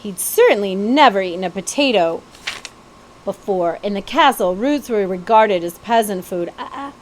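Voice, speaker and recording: A woman's voice: mid-range voice, expressive, moderate pace, a little hiss or hum, poor audio. A woman reading a children's novel aloud as a storybook narrator.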